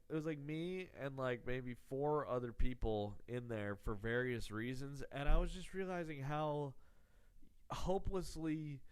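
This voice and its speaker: A man's voice: mid-range voice, smooth. A man hosting his podcast in a rambling, profane, sarcastic monologue, his voice nasal and congested from illness. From Texas. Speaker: podcast host. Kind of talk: casual comedic monologue.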